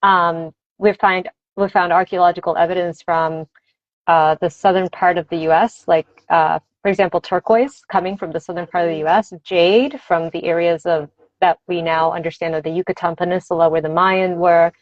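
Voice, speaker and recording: A woman's voice: lowish voice, fairly even intonation, moderate pace, dry recording. A woman who is a native Spanish speaker, explaining things conversationally as a teacher being interviewed, reflective and enthusiastic. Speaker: language teacher and advocate, interview guest. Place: dry close room on a video livestream. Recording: dull, lo-fi livestream audio.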